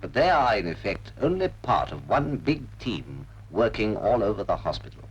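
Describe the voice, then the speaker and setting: A man's voice: fairly low pitch, sing-song, moderate pace, breathy edge, lo-fi sound. A British man reading a scripted 1940s public information film narration.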